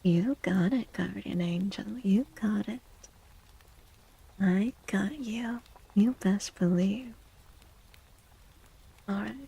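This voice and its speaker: A woman streaming a soft-spoken ASMR tarot reading close to the mic, often slipping into a breathy whisper, with a playful, cutesy, affectionate manner. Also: mid-range voice, slow, pauses often, poor audio. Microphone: close microphone.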